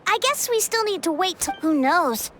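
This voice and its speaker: A woman voicing a small, chatty, excitable fairy companion in a video game, squeaky and childlike with exclamatory delivery. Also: normal speed, very noisy. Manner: exclamatory, expressive.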